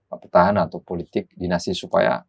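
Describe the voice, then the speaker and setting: A man's voice: low voice, steady tone, quick pace, vocal fry, breathy edge, no echo. A man giving analytical political commentary as a guest, explaining things in formal, academic vocabulary.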